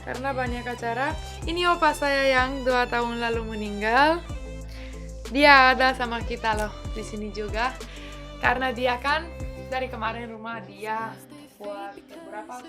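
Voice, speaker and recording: A woman's voice: on the high side, moderate pace, over music. A young woman, chatting casually in a home vlog, still getting over a cold. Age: young adult. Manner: chatty, switches between languages. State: coughing from a cold. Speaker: vlogger. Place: home living room.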